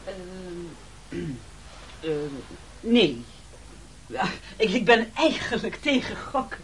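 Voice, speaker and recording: On a noisy old radio recording, an opportunistic, hungry castaway. The voice is highish and very lively, by turns hesitant and excitable. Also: male voice, very slow, exaggerated voice. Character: hungry, opportunistic castaway gentleman, eager follower. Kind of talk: radio play acting.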